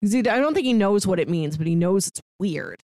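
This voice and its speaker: A woman co-hosting a podcast, chatting casually and retelling stories in an animated way. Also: mid-range voice, fairly quick, dry recording, clean studio sound. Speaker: podcast co-host. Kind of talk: casual book discussion.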